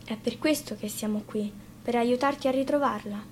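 A woman's voice: fairly high pitch, normal speed, a little mellow, slight background noise, lightly acted. A woman voicing a confident, bossy six-year-old boy in a fairy tale, speaking firmly and matter-of-factly. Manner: matter-of-fact, firm.

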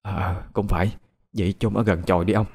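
A man voicing a distraught middle-aged mother who begs in a high, wailing voice. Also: steady tone, a little fast, vocal fry, darkish tone, husky, heavily acted. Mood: hushed agreeing.

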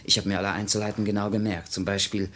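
Man acting a low, confident revolutionary, faint noise. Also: flat delivery, fast, fairly bright tone, close to an everyday voice. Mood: confident, brisk. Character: confident, observant young male revolutionary bomber. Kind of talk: 1950 German radio play acting. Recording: faint noise, old radio recording.

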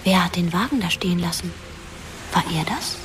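A woman playing a dead teenage girl narrates a radio drama softly, with a reproachful edge. Her voice sits a little away from the mic. Music plays clearly behind her. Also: on the low side, normal speed, far from the mic, lightly acted. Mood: hushed, somber.

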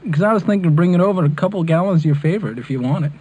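A man's voice: a little high, animated, very fast, a little mellow, husky, highs cut off. Man prank caller, wildly swooping voice. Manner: hesitant, repetitive, pleading, addressing listener by name. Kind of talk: prank phone call improvisation. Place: on a telephone call. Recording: prank call recorded over telephone.

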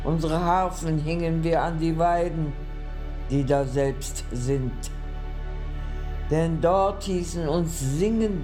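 An actor playing a mournful psalm reader in exile, reciting with measured solemnity for a radio drama. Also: male voice, a little high, a little mellow.

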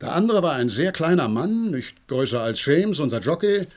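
A man's voice: on the high side, very animated, moderate pace, a little mellow. A man acting in a German radio play as an agitated horse trainer, eager and deferential as he gives a dramatic account. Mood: secretive, earnest. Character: agitated horse trainer reporting a mystery, deferential male servant.

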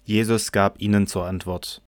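A man narrating a scripture audio drama in a measured, solemn manner from a script. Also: lowish voice, flat delivery, no room sound, studio quality.

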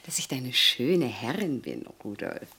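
A woman's voice: rumbling low, big pitch swings, unhurried, bright, forceful tone, breathy edge, exaggerated voice. A woman in a German radio play, playing a vain ageing lady of the house with a theatrical, wistful, coaxing manner. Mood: dreamy, reproachful.

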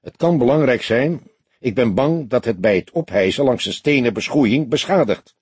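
A man's voice: medium pitch, lively intonation, normal speed, a little mellow, slightly rough, no echo, voice pushed up. A man voicing a patient, mocking, authoritative grey-haired old police detective in an audiobook. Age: older.

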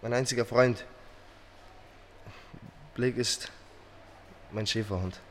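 A man acts out a humble immigrant farmhand turned witness in a radio crime play. He speaks at a medium pitch in halting, simple sentences, solemn and sincere, over faint background noise. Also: fairly even intonation, close to an everyday voice. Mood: solemn, resolute. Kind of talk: radio crime drama acting.

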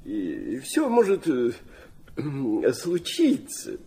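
An actor playing a sentimental, flighty old Russian prince in a radio play, rambling and hesitant, stammering and dropping in French phrases. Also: male voice, mid-range voice, wildly swooping pitch, extremely slow, mellow, exaggerated voice. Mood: flustered, evasive. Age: older.

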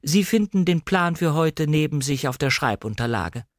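A man voicing a coolly deferential female housekeeper of a manor in an audiobook drama, with formal, measured politeness. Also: fairly high pitch, lively intonation, dry recording, clean studio sound, pitched above the performer's own voice, natural voice.

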